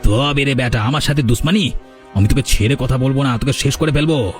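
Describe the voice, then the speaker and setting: A man's voice: mid-range voice, brisk, faint music behind, close to an everyday voice. A man voicing a blustering, scheming village bully in a theatrical cartoon style. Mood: furious. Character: scheming male village bully, threatening, later grovelling for mercy. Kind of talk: cartoon voice acting in a village drama.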